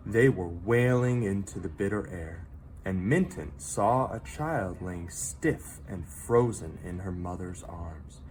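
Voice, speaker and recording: A man reads a children's story aloud in an expressive, dramatic storyteller manner, pausing for effect. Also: low-pitched, a little hiss or hum, rough recording. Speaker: teacher reading a picture book aloud.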